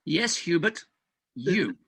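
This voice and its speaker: A man's voice: a little high, big pitch swings, takes it slowly, creaky, a bit bright, husky, exaggerated voice. A man playing an exasperated, self-important hospital doctor, indignant and emphatic, in a comic stage farce reading. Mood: exasperated, scheming. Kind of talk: comic farce readers theatre.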